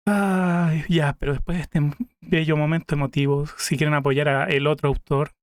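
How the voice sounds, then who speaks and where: A male streamer talks casually as he reacts to a video, musing aloud in a thoughtful way. His voice sits a bit high. The recording is dry, with no room sound. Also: level tone, normal speed, no echo.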